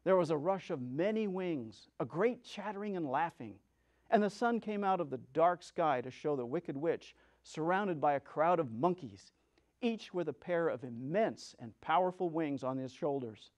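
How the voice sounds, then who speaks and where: A man reading a children's story aloud as a storyteller, in a theatrical, deliberate way. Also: a little high, lively intonation, darkish tone.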